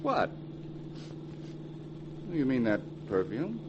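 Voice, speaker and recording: An actor playing a husband in a 1940s radio horror drama, in period radio style. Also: male voice, mid-range voice, wildly swooping pitch, extremely slow, highs cut off, full character voice. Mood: breezy. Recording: noisy old radio, engine hum behind.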